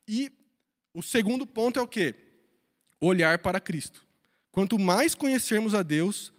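A man with a Brazilian accent giving an informal sermon, speaking colloquially and emphatically as a preacher leading a Bible study. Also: high voice. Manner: colloquial, emphatic, acts out imagined dialogue with rhetorical questions. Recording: reverberant hall.

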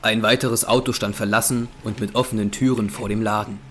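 Low-voiced male horror narrator. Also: flat delivery, fairly quick, fairly bright tone, a little hiss or hum. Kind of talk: scripted horror audio drama narration.

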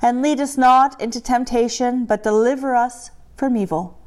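A woman leading a church prayer in a slow, measured liturgical delivery. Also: a little high, fairly even intonation, a little mellow. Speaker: prayer leader.

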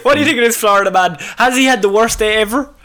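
A man's voice: extremely high pitch, rapid-fire, sharp tone. An Irish man co-hosting a comedy podcast, chatting casually and jokily about odd news and prone to laughing. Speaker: podcast co-host.

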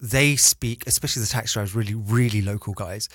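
A man telling stories in a relaxed casual chat, full of "like" and "you know". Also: on the low side, fast talker, no room sound.

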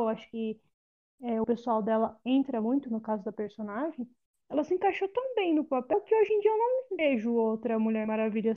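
Opinionated woman, lo-fi call audio. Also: a little high. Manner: opinionated, talks it through with many restarts. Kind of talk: casual film review chat.

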